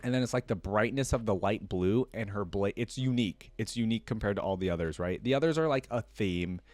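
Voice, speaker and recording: A man with a fairly low voice hosts a casual reaction video. He talks informally and thinks out loud, often hesitating over his choices. The sound is dry, with very little room in it. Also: fairly quick, dry recording. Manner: informal, thinking aloud, indecisive.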